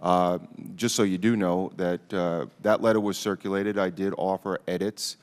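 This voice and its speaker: A man's voice: sits low, steady tone, normal speed. A man giving formal testimony as a witness, speaking directly and plainly. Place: large indoor committee hearing room. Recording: reverberant hearing room.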